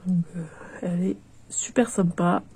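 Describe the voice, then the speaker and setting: Woman with a cold, husky and low. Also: steady tone, unhurried, dark tone. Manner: hesitant, many fillers and restarts, chatty. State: has a cold, nasal and stuffy. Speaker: doll unboxing vlogger. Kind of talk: casual unboxing commentary.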